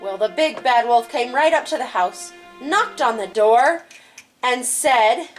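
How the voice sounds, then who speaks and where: Female children's storyteller and host, high-voiced with big swings in pitch, over music. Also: lively intonation, unhurried. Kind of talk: animated storybook narration for children.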